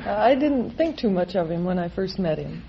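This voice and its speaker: A woman's voice: lowish voice, lively intonation, brisk. Matter-of-fact young American woman, vintage radio sound. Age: 20s. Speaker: contestant, resident physician. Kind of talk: unscripted interview answers. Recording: dull old radio recording, faint noise.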